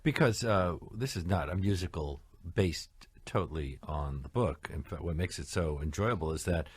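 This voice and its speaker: A man's voice: low-pitched, animated, normal speed, slightly rough. A man hosting a radio interview, asking curious, playful questions. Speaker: radio host.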